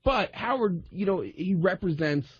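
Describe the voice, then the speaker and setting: A man chatting on a radio panel in a hesitant, halting way, often repeating himself. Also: sits high, lively intonation, husky, highs cut off. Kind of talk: casual radio roundtable chat.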